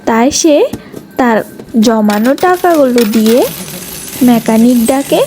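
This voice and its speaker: A woman's voice: a little high, animated, unhurried, background noise. A woman reading scripted narration for a cartoon story as its storyteller.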